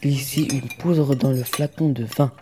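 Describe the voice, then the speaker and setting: A man's voice: mid-range voice, steady tone, a little slow, noisy background. A man reading scripted narration of an adventure story as narrator.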